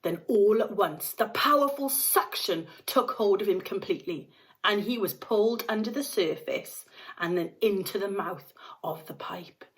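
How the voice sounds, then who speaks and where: A woman's voice: mid-range voice, expressive, measured pace. A woman, a teacher reading aloud, narrating a children's story expressively.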